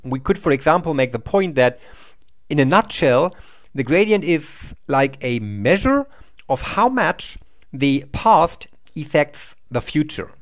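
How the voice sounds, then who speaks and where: A middle-aged man lecturing from a small room to a webcam. His pitch moves up and down a lot as he explains step by step, and he often repeats or restarts a word mid-sentence. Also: sing-song, unhurried. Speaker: university lecturer. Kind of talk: recorded lecture over slides.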